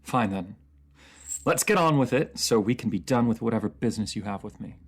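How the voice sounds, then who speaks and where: A man playing a proud, bitter captive ruler and former mercenary in a fantasy audio drama, speaking formally and sometimes haltingly. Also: fairly low pitch, lively intonation. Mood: resentful, formal. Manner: halting, stammering when flustered, formal fantasy diction.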